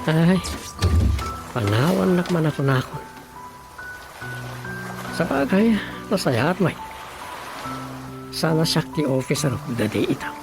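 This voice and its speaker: A chatty village councilman and husband in a radio drama comedy, with a slightly high, gravelly voice. He thinks aloud and exclaims as he explains, with music playing underneath. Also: big pitch swings, measured pace, pauses often, heavily acted. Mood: musing to himself. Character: talkative married village councilman, musing and explaining to his wife.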